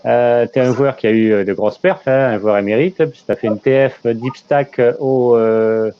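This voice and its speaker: A man's voice: mid-range voice, fairly even intonation, moderate pace, dull audio. A man hosting a casual interview over a video call, talking hesitantly with many fillers. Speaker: interview host, channel creator.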